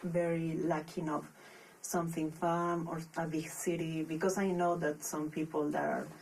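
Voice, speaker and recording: A young woman from Peru with a Peruvian Spanish accent, a podcast guest speaking English as a second language, thoughtful and pausing often to restart her phrases. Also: deep voice, level tone, gravelly, darkish tone, distant mic, a little hiss or hum, rough recording. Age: young adult. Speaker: podcast guest, master's student. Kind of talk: casual interview.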